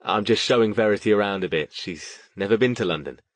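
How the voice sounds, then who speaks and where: A man's voice: on the low side, fast talker, no echo, natural voice. A man voicing a polite, reserved magician mentor in an audiobook, courteous and understated. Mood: warm greeting.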